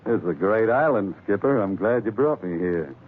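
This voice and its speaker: A male actor plays a scrappy first officer, blunt and full of wisecracks. His voice sits fairly low. The 1940s radio recording is narrow, with faint noise. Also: lively intonation, moderate pace, lightly acted. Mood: sardonic. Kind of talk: 1940s radio drama acting.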